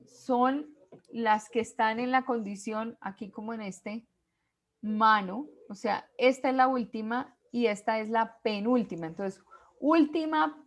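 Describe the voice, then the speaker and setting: Woman, teacher, classroom lecture, high-ish voice, explains step by step, asks quiz questions.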